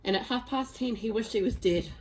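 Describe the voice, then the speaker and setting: A woman reading a children's storybook aloud with expressive, dramatic emphasis. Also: on the high side, brisk, low-quality recording. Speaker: storybook reader.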